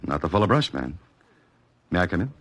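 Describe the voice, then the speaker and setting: An American actor playing a wry, cool novelist-sleuth in a 1940s radio drama, with dry, clipped delivery. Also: male voice, deep voice, sing-song, fairly quick, a bit bright, dry recording, exaggerated voice. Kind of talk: 1940s radio drama acting and first-person narration. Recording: old radio recording with music behind.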